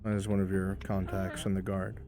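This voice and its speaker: A man runs an online roleplay as game master, setting scenes aloud. His voice is low and mellow. There is faint music behind him. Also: completely flat delivery, moderate pace.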